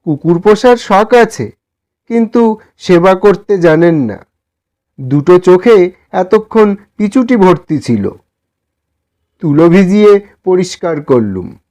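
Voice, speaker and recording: Man playing a meek, high-pitched humble gentleman. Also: animated, slow pace, pauses often. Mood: eager, caring. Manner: meek, pleading, polite. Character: timid, humble, poor gentleman, kind to animals. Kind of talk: dramatised storybook dialogue.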